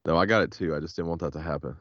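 Man, very low voice, head cold, laid-back joking podcast host, dull muffled audio. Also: steady tone, racing, no room sound. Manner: laid-back, joking, says "hell yeah" often. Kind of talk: casual chat.

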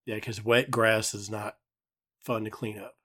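A man's voice: fairly low pitch, little pitch movement, unhurried, bright, forceful tone, breathy edge, no room sound, studio quality. A man hosting a podcast in casual chat, easygoing and agreeable. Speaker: podcast host and producer.

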